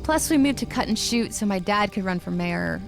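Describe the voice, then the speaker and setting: An actress plays a tough young woman in her twenties, wounded and bitter. She speaks in a mid-range voice, guarded and defensive, in an audio drama with music clearly behind her. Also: medium pitch, animated, normal speed. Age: 20s. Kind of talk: dramatized audiobook, first-person recounting.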